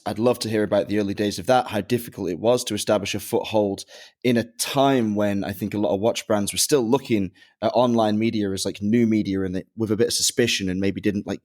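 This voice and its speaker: A man hosting a casual podcast, asking his guest long questions full of praise. His voice sits a little low, and he speaks in easy, flowing sentences. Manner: enthusiastic, flattering questions. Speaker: podcast host.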